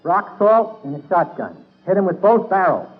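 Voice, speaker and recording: A man's voice: high-pitched, big pitch swings, moderate pace, soft background music. A man, a radio orchestra leader, doing scripted comic banter in 1940s radio style. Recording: tinny 1940s radio broadcast.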